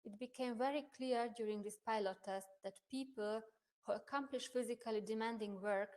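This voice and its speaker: A young woman whose first language is Hungarian, a research associate presenting a prerecorded conference paper in a measured, careful academic manner. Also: fairly high pitch, steady tone, darkish tone. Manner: measured, careful academic delivery with occasional restarts.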